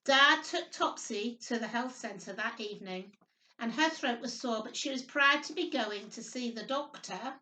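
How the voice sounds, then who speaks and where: A woman's voice: on the high side, measured pace, pushed and bright. A woman hosting storytime for young children, reading aloud and chatting in a sing-song, simple way with frequent tag questions. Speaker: children's storytime host, nursery worker. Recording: roomy echo, distant microphone.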